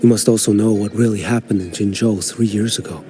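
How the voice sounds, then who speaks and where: A calm, grave wandering swordsman, voiced by a man in a video game, his voice sitting somewhat low. He talks in a measured, solemn way over game music. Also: close to an everyday voice. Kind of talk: game voice acting. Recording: game audio with music underneath.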